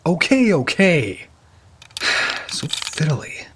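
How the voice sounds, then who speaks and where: A man playing a practical, slightly exasperated robot crewmate in an audio drama, husky and casual. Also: fairly high pitch, huge pitch swings, drawn-out words, noisy background, heavily acted. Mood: mildly exasperated.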